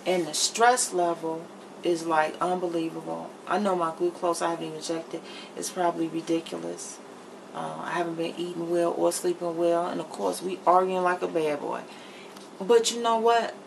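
Creaky, low-voiced middle-aged woman vlogger. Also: steady tone, moderate pace. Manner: emphatic, blunt. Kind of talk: candid chit-chat to camera while cooking. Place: home kitchen, indoors. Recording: noticeable background noise in a kitchen.

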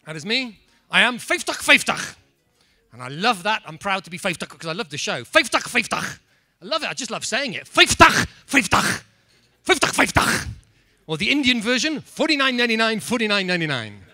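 A middle-aged man with an English accent giving a playful, animated comedy talk to a room on a handheld mic. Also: high voice, a little fast, no room sound, studio quality, faint music behind. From England. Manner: animated, playful, mock-apologetic. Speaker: speaker. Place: conference room.

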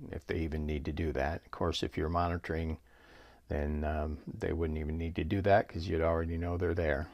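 A man's voice: low voice, gravelly, no echo, clean studio sound. A man giving a casual, explanatory product demonstration in a plain-spoken, matter-of-fact way.

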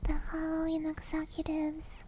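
A young woman whispering breathily and very close to the mic, chatting casually and playfully as an ASMR streamer, with some relaxed swearing. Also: sits high, unhurried, slight background noise, low-quality recording. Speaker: ASMR streamer hosting a live hangout. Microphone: binaural 3Dio ASMR microphone, very close.

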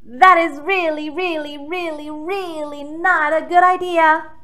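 A woman voicing a small, alarmed baby chick in a children's storybook, loud, insistent and emphatic. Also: high-pitched, fairly even intonation, measured pace, pitched above the performer's own voice. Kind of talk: children's storybook read-aloud.

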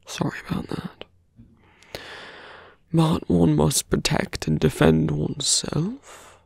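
Suave villain mage for fantasy ASMR roleplay, played by a man: very creaky, breathy, slow and deliberate, mocking with calm menace. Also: a little high, dry recording, clean studio sound, exaggerated voice. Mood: mock concern. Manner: hushed, deliberate, condescending, often dropping to a whisper.

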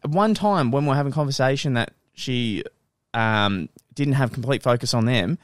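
A young Australian man co-hosting a casual podcast, swearing freely and getting loud and high when joking, close on a studio mic in a living room. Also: normal speed, a little hiss or hum. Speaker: podcast co-host. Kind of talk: casual chat. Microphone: studio mic on boom arm.

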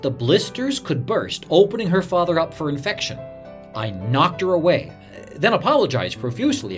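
A male actor plays a radio newsman looking back on a disaster. He tells it as a scripted first-person memoir, earnest and somber. Soft music sits behind the voice. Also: a little high.

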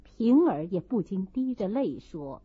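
A woman's voice: mid-range voice, big pitch swings, a little slow, breathy edge, highs cut off. A woman narrating a classic novel as a dramatic audiobook.

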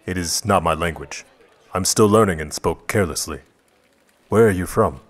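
A man doing a deep solemn young warrior. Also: normal speed. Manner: grave, plain speech. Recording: music bed underneath.

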